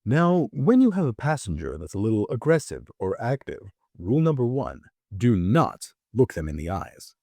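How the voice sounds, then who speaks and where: A man voicing a wry, instructive train conductor in an audio drama, speaking in a measured, mock-genteel manner. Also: medium pitch, big pitch swings, vocal fry, warm tone, husky, no echo, voice pushed down, heavily acted. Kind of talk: audio-drama character dialogue.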